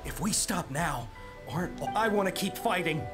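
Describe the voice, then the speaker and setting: A woman's voice: fairly low pitch, expressive, moderate pace, close to an everyday voice. An actress playing a loyal, fervent young female soldier in an anime game, earnest and deferential. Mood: pleading, fervent. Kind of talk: anime video game voice acting. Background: game music.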